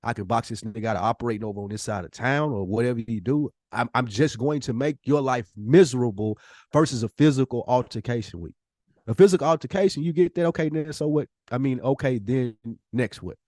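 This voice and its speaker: A male podcast host with a mid-pitched voice, recorded close and dry. He argues his opinions in casual, slangy speech, leaning on emphatic rhetorical questions. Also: brisk, darkish tone, dry recording.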